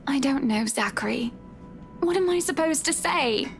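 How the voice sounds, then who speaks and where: A woman's voice: high-pitched, lively intonation, moderate pace, creaky. An actress playing an outspoken, sophisticated married woman in a visual novel game, with an articulate, dramatic delivery. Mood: hesitant, bitter. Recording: music behind the voice. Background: music.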